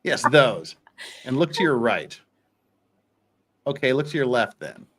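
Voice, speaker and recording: A man hosting a livestream in a loose, jokey way. His pitch swings widely as he rambles through casual banter and dramatic readings. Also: sing-song, unhurried, a bit bright. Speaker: livestream host.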